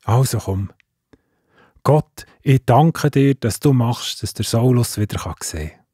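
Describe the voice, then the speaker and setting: Den zögerlichen Hananias. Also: male voice, mid-range voice, unhurried, dark tone, slightly rough, no room sound, clean studio sound. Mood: kind. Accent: Swiss German. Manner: grumbling, hesitant. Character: Hananias, reluctant, timid man. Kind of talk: children's audio drama.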